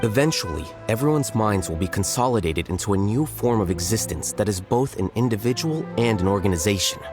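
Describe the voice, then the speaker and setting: Cool, low-voiced blunt scholar played by a man. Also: expressive, lightly acted. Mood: explanatory. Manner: measured, matter-of-fact, precise. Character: cool, blunt, intellectual young male scholar. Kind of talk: video game voice acting. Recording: game music behind the voice.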